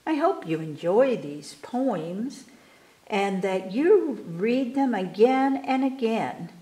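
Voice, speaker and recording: A woman reading children's poems aloud at storytime, expressively and with careful, animated delivery for young listeners. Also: on the high side, echoey room, rough recording. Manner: animated, unhurried, enunciating for children. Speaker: library storytime reader. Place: indoors in a room, book filmed on table.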